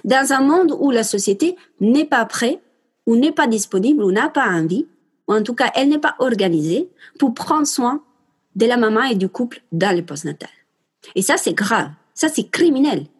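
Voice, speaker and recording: A woman's voice: a little high, lively intonation, moderate pace, slightly rough. A woman in her twenties with an Italian accent, passionately and emphatically telling listeners about giving birth and speaking to them directly. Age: 20s. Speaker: mother sharing her home birth story. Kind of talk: casual spoken monologue.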